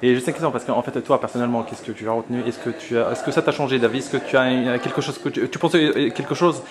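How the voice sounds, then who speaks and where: Fast-talking probing male interviewer. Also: medium pitch. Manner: probing, interrupts, pushes back. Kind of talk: spontaneous debate interview. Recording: crowd noise behind.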